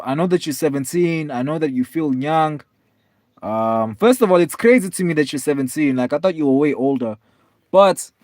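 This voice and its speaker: A man's voice: on the high side, a little mellow, dry recording. Laid-back young man chatting on stream. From South Africa. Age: 20s. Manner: laid-back, rambling, many fillers, calls viewers man and bro. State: tired. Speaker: live streamer. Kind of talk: casual live stream chat.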